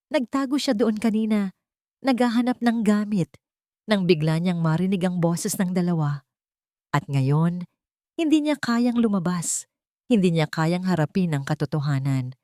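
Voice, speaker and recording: A woman narrating a scripted dramatic story in an emotive, deliberate way. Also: medium pitch, lively intonation, darkish tone, dry recording, clean studio sound.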